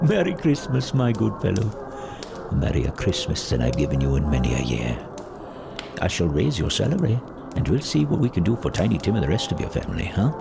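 An actor playing an elderly reformed miser, giddy, generous and exuberant, in a theatrical audio drama. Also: male voice, low-pitched, wildly swooping pitch, a little fast, creaky, noisy background, heavily acted. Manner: exuberant, chuckling, mock-stern at times.

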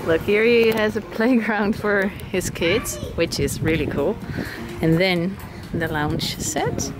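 A woman, chatting excitedly through a casual vlog while walking around a villa and a photo studio. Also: medium pitch, slow, noisy background. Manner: bubbly, excitable, laughs often. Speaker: model and vlogger. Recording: music playing loudly behind her.

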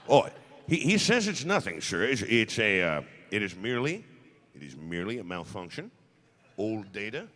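A man's voice: on the low side, very animated, moderate pace, bright, forceful tone, in a large hall. A man voicing a rambling talk-show host as a fussy robot butler, stammering and repeating phrases in a comic live reading. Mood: flustered. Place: large convention hall panel stage. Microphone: microphone on table stand.

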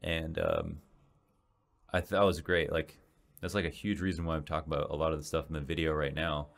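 A young man in his twenties with a deep, slightly raspy voice, hosting a casual solo podcast and rambling with many restarts. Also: lively intonation, fairly quick. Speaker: podcast host and esports caster. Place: small home room, indoors. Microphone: large studio mic in front of face.

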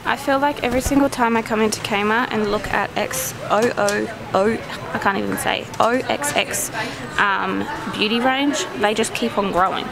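A woman's voice: medium pitch, moderate pace, gravelly, crowd noise. A young adult woman with an Australian accent, chatting casually and gushing as she vlogs her way around a store. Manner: gushing, enthusiastic. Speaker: vlogger. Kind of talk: casual shopping vlog chat. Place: inside a busy department store. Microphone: handheld camera at arm's length.

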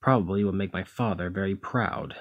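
A man playing a protective, conflicted demigod hero in a one-sided ASMR roleplay, speaking emotively and haltingly to the listener. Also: low-pitched, dull audio. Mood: protective, grim. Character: brawny male demigod hero, protective, conflicted, exasperated lover.

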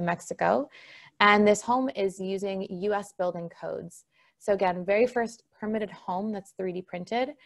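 Argentinian woman presenting with gravelly fry. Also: lowish voice. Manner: earnest, explanatory. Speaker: conference presenter. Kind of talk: slide presentation talk.

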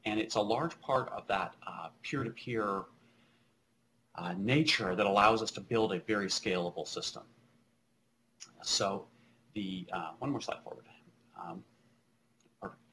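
A middle-aged man presenting technical material over slides in a halting, explanatory way, speaking from a small room on a webcam call. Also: on the low side, fairly bright tone, slight background noise, rough recording.

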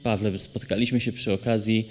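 A man hosting an interview, asking questions in a measured, slightly hesitant way. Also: fairly low pitch, level tone, soft background music. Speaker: interviewer, host. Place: hall at a cultural centre. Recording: long reverb of a hall.

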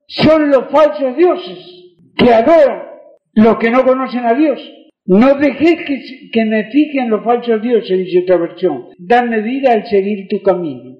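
Older Argentine pastor preaching in a roomy hall. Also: male voice, sits high. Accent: Rioplatense Spanish. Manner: emphatic, rhetorical questions, asks for amens. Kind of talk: fiery sermon with audience call-and-response. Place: church hall with congregation. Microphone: handheld mic. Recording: roomy, dull church recording.

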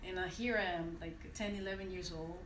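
Lowish-voiced woman from venezuela, french first language, talking to a class, lots of restarts, echoey and far off. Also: steady tone, a little fast, fairly bright tone, a little hiss or hum. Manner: reflective, with many restarts and hesitations. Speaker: conference speaker. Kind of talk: personal talk to an audience. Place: university classroom, indoors. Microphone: standing mic, recorded by a phone from the audience.

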